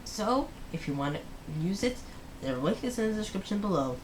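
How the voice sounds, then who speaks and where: A woman hosting a read-aloud, reading slowly for young children in the manner of a picture book. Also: mid-range voice, very animated, background noise.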